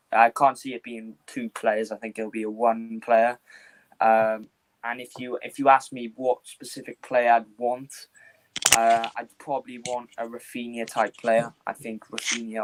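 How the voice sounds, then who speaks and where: A man hosting a casual football panel, talking at a measured pace with frequent fillers and restarts. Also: medium pitch, rough recording. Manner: flat, measured, hesitant with fillers. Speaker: panel host, Liverpool fan.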